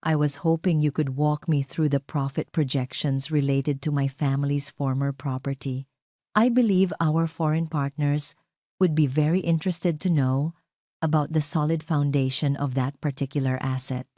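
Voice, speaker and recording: A woman voicing a calm, poised, defiant young wife in an audio drama, speaking clearly and deliberately. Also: sits low, dry recording, studio quality. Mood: calm poise.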